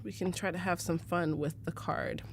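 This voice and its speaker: A young woman from Arizona walks viewers through a photo edit on a live stream. She talks casually with lots of "like" and "um", often laughing. Her voice sits slightly low, with a faint hiss behind it. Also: steady tone, normal speed. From United States (Arizona). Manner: casual, chatty, fillers like "like" and "um", laughs easily. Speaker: livestream presenter teaching photo editing. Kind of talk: live software tutorial.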